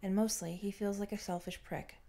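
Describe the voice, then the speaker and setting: A woman's voice: medium pitch, flat delivery, brisk, mellow. A woman reading fan fiction aloud as scripted audiobook-style narration.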